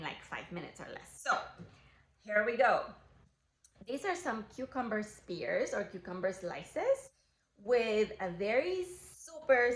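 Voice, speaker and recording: A woman's voice: mid-range voice, slight background noise. Cheerful woman teaching in a roomy kitchen. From Guatemala. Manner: cheerful, upbeat, speaks directly to viewers. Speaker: cooking class host. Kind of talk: kid-friendly cooking demonstration. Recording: roomy kitchen, faint ambience.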